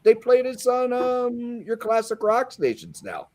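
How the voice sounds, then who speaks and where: Lively, upbeat man in his fifties. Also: very high voice, a little slow. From Pennsylvania. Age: 50s. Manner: enthusiastic, jokey. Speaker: panelist. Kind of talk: casual livestream panel chat.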